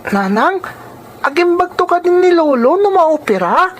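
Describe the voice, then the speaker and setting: A woman's voice: very high-pitched, sing-song, measured pace, a little hiss or hum, full character voice. A woman playing a concerned, obedient young child in a radio drama.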